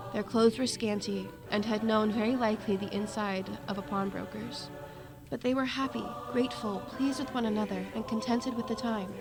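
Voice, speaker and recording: A woman narrating a radio drama in a measured, literary storytelling manner. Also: mid-range voice, close to an everyday voice. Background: music.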